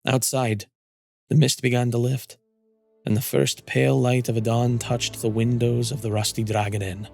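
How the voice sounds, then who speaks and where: Measured male fantasy storyteller over music. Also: medium pitch, creaky, darkish tone. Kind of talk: scripted dramatic fantasy narration.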